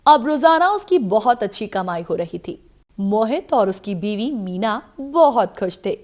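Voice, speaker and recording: A woman's voice: medium pitch, expressive, measured pace. A woman reading a scripted moral story as its narrator.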